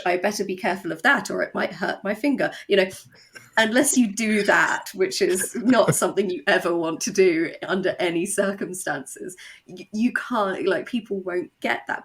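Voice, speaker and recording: A woman with a low voice and noticeable vocal fry. She speaks as a writer being interviewed, chatting casually and slipping into little quoted asides as she explains. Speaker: guest, audio drama writer and novelist.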